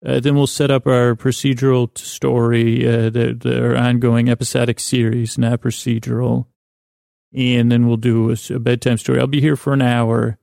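A man with a creaky voice hosting a podcast, delivering a meandering, halting, self-deprecating bedtime monologue full of digressions and pauses. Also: mid-range voice, flat delivery, mellow, dry recording, studio quality. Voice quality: creaky, gravelly. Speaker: podcast host.

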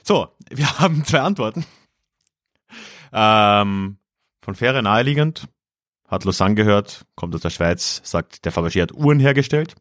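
A man's voice: lowish voice, lively intonation, unhurried, fairly bright tone, no echo, studio quality. A man hosting a podcast quiz in a casual, chatty way, reading out clues and commenting on the answers. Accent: South Slavic-influenced pronunciation of foreign names. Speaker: quiz host.

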